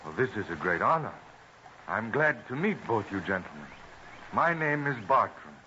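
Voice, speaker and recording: An actor playing a courteous, modest, hardy traveling botanist in a formal radio drama. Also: male voice, on the low side, sing-song, moderate pace, sharp tone. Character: John Bartram, polite hardy traveling botanist, plain and modest. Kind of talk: old-time radio drama acting. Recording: muffled old radio recording with hiss.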